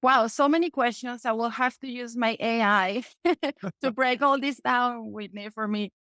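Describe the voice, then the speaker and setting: A woman's voice: a little high, moderate pace, a bit bright, no room sound, studio quality. A woman in her forties from Venezuela with a Spanish accent, a guest on a podcast. She talks with energy and often checks in with "okay?". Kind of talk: casual interview talk.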